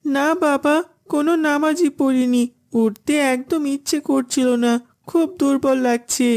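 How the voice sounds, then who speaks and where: A woman's voice: high voice, fairly even intonation, moderate pace, a little mellow. A woman voicing a meek, earnest young boy in a children's cartoon, with a plaintive, innocent manner. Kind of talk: Islamic children's cartoon dubbing.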